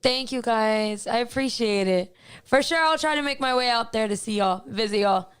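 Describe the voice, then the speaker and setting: A woman singer with a raspy voice, chatting loosely as a guest on a radio show. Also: a little high, normal speed. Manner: laughing, loose and unguarded. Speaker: musician guest. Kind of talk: casual radio chat.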